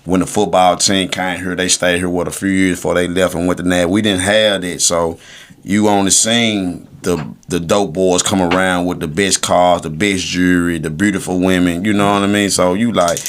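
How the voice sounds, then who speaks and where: A man's voice: low-pitched, little pitch movement, moderate pace. A man with a Memphis Southern accent swapping personal stories in blunt, heavily slangy, profane talk. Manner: blunt, heavy slang and swearing. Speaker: podcast co-host. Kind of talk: casual chat, personal storytelling.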